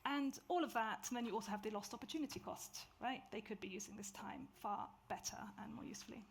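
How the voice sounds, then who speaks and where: A woman's voice: on the high side, fast, creaky, a little hiss or hum. A woman speaking as a panelist, emphatic. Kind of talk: panel discussion.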